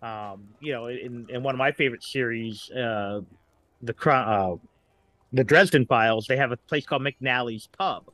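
A middle-aged man talking casually as a podcast guest, a writer who haltingly searches for examples as he goes. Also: mid-range voice, a bit bright, dry recording.

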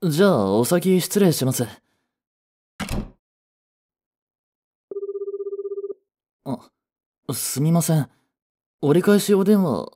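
Soft, husky young boyfriend, acted by a man. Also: a little high, animated, a little slow. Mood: flustered, polite. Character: young office worker, proud but regretful boyfriend. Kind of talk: romantic voice drama acting with introspective narration.

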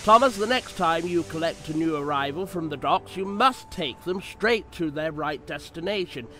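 A man's voice: fairly high pitch, lively intonation, normal speed, a bit bright. A strict railway controller, played by a man in a fan cartoon, giving orders in a stern, scolding tone, his voice a little raised. Music plays underneath.

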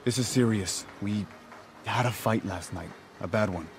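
A man's voice: fairly low pitch, normal speed, slight background noise, close to an everyday voice. An actor playing a worried, earnest field researcher in his thirties in a video game cutscene. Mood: worried, regretful.

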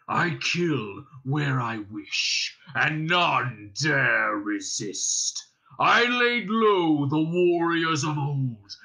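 A man voicing a huge, wily old male dragon, slow, mocking and boastful, in a storybook reading. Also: mid-range voice, vocal fry, pushed and bright, exaggerated voice, low-quality recording.

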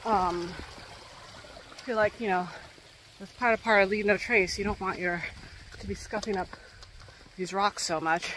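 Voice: mid-range voice, fairly even intonation, measured pace, pauses often, a bit bright, slight background noise, low-quality recording. A young adult vlogger talking casually to a phone camera held at arm's length while hiking a wooded mountain trail, a little out of breath, thinking aloud with many fillers.

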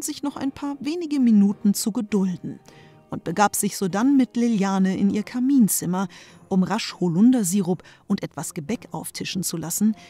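A woman narrates a fairy tale from a script. Her voice is mellow and of middling pitch. Music plays behind her.

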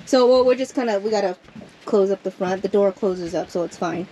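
Mellow-voiced woman vlogging on a boat. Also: medium pitch, fairly quick, a little hiss or hum, rough recording. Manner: chatty, rambling, trails off mid-sentence. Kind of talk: casual vlog narration. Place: outdoors on a boat on a lake, under a mesh tarp.

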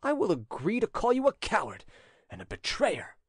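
A man's voice: high voice, wildly swooping pitch, moderate pace, darkish tone, husky, dry recording, studio quality, voice pushed up, exaggerated voice. A man voicing a proud young fisherman in a storybook, a protective brother who speaks in a haughty, scornful, declamatory way. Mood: indignant fury.

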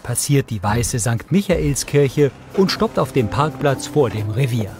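A man reading scripted audio description as a narrator in a neutral, measured manner. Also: mid-range voice, expressive, a little mellow, faint music behind.

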